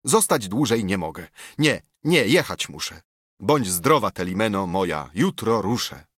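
A man's voice: fairly low pitch, sing-song, a little slow, a bit bright, breathy edge, no echo, studio quality, heavily acted. A man voicing an earnest young nobleman soldier who protests in a hasty, awkward way. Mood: flustered.

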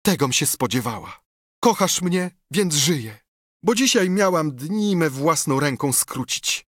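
A man voicing a passionate, theatrical noblewoman who has been jilted, speaking with melodramatic, shrill outbursts. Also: lively intonation, measured pace, a bit bright, dry recording, studio quality. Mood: pleading.

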